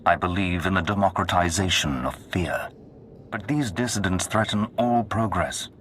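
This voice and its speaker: A man's voice: sits low, moderate pace, fairly bright tone, a little hiss or hum. A man playing a menacing, grandiose Sith lord in a video game, solemn, commanding and ceremonious. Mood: ominous, preaching.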